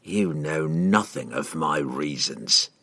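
Storybook killer, a man acting: deep, hushed growl, slow and clipped, icy contempt in a fantasy tale. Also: vocal fry, heavily acted. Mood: guarded, scornful. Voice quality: low growl. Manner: slow, clipped, quiet menace. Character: cold, menacing male assassin, curt and contemptuous.

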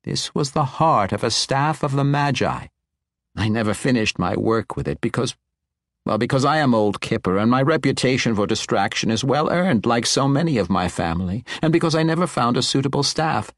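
An excitable old wizard from a fantasy storybook , acted out by a male narrator. He is kindly and eccentric, rambling in exclamations, his raised voice leaping up and down in a dry, close recording. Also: mid-range voice, moderate pace, gravelly, no echo, voice pushed up. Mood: excited.

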